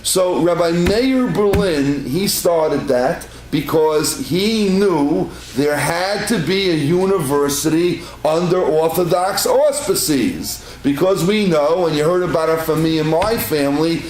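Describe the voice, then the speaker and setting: A rabbi teaching a congregation in a chatty storytelling way, piling up repeated words for emphasis. His voice sits a little high for a man. The room is echoey, with a faint hum underneath. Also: takes it slowly, hardly any pauses, darkish tone. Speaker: rabbi giving a class. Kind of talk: informal Torah class to a congregation. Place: synagogue room.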